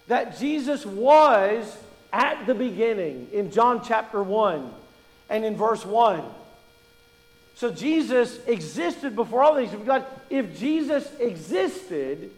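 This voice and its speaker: A man's voice: very high-pitched, darkish tone, big-room sound, low-quality recording. A man from Kentucky preaching a sermon as pastor, folksy and joking as he teaches.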